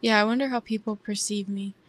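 A young woman with a soft voice, hosting a casual podcast chat in a shy, hesitant way that often trails off. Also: medium pitch, fairly even intonation, brisk. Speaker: podcast host.

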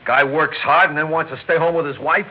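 An actor playing a hot-tempered but devoted young working-class American husband in a classic radio drama, with rough, stammering speech. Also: male voice, a little high, steady tone, a little fast, natural voice. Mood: heated, pleading. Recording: muffled old radio recording, faint noise.

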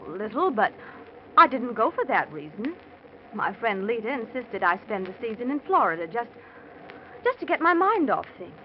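An actress playing a prim, practical wealthy wife in a 1940s radio drama, earnest and disapproving. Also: female voice, on the high side, very animated, normal speed. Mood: mild. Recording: noisy muffled old radio recording.

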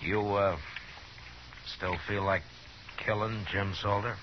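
An actor playing a steady, laconic frontier lawman with measured, understated delivery in 1950s radio Western style. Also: fairly low pitch. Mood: probing. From American. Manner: measured, understated, terse questioning. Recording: muffled, hissy 1950s radio recording.

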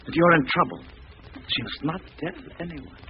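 A man's voice: fairly high pitch, expressive, moderate pace, highs cut off, close to an everyday voice. An actor playing a menacing, vengeful disfigured stage star who taunts his captive theatrically in an old radio thriller. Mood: gloating menace. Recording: noisy, band-limited vintage radio recording.

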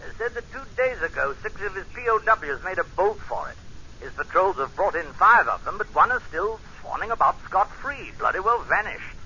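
A bluff British general, clipped and apologetic, with a very sharp, forceful voice pitched a little high. It is an old radio play with a thin, limited sound. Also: male voice, very animated, moderate pace, gravelly, slightly rough, highs cut off, full character voice. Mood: bluff, concerned. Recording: band-limited, noisy old radio broadcast.

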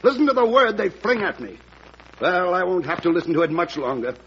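A man's voice: sits high, animated, a little fast, a bit bright, highs cut off. An actor playing a proud, wounded statesman accused of treason, theatrical and impassioned, in a classic radio drama. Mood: wounded, defiant. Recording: noisy, band-limited old radio recording.

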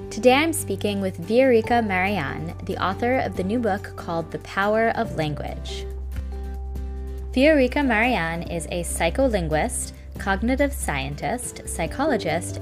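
A woman hosting a podcast, clearly reading out a prepared introduction of her guest. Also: mid-range voice. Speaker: podcast host. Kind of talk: scripted intro. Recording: music bed under the voice.

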